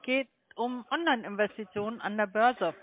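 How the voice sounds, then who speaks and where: A woman's voice: on the high side, normal speed, a little hiss or hum. Woman telemarketer on tinny phone line. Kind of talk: phone sales call.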